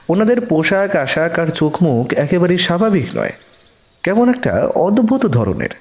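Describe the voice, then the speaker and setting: A man narrating a scripted detective story in the first person, expressive and suspenseful. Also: a little high.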